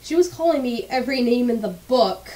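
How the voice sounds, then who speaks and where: A young woman narrating her own story in a relaxed, unpolished storytime style. Her voice is a bit stuffy and congested, as if from a cold. She talks bluntly and candidly, swearing casually as she goes. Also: a little high, fairly quick.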